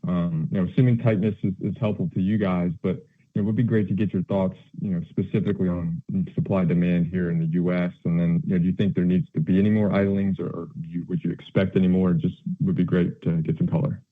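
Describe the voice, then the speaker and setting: A man asking questions as a financial analyst on an earnings call, polite and conversational with halting phrasing. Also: low-pitched, steady tone. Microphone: telephone. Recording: narrow, tinny phone line.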